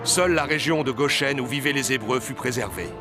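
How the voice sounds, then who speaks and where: A man's voice: mid-range voice, a little fast. A man reading scripted narration of a biblical story as narrator. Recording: music under the voice.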